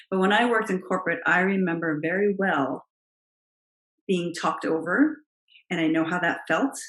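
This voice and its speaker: Woman, middle-aged, naturally low voice, emphatic coaching tone, vlog advice, roomy echo. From Italian heritage. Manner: emphatic, expressive coaching delivery. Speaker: confidence coach. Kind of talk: direct-to-camera advice vlog. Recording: roomy, echoey room sound.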